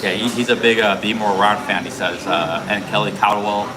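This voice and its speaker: A man livestreaming on a city street, talking casually with a loud, carrying voice and lots of fillers and restarts. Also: fairly low pitch, fairly even intonation, brisk. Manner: rambling, many fillers and restarts. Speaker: livestream host. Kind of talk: casual chat while streaming. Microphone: streaming camera rig. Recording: clear street noise.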